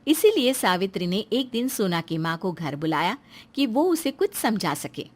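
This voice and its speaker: A woman's voice: mid-range voice, big pitch swings, moderate pace, darkish tone. A woman narrates a moral story. She reads scripted lines as the storyteller, setting out the scenes between the dialogue.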